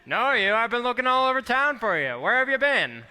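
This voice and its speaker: A man playing a gruff but friendly small-town policeman in an old-time radio play. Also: very high-pitched, very animated, fairly quick, pushed and bright, heavily acted. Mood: exasperated, friendly. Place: live stage in a hall. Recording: echoey hall, live stage taping.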